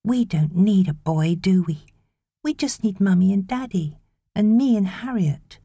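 A woman's voice: medium pitch, lively intonation, a little slow, dark tone, husky, no echo, voice pushed up. A woman voicing a small, sullen, lonely girl with childlike delivery, in an audiobook story. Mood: sad.

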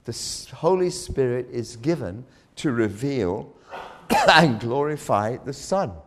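Man, preacher, sermon, dramatic swells, unhurried. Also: expressive. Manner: dramatic, swells loud on key phrases then drops low. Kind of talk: sermon storytelling.

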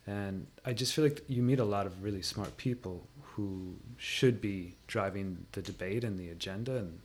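A man speaking as an interview guest, giving measured, opinionated and analytical commentary in conversation. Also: low voice, slight background noise.

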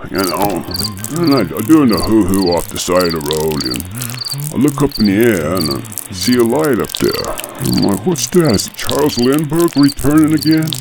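A man's voice: low-pitched, creaky, full character voice. A man playing a weary tuba-playing small-time hitman, rambling conversationally through his tall tale in a comic radio play. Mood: rambling, conspiratorial. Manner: rambling, conversational, peppered with "you know". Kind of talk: comic first-person radio play monologue.

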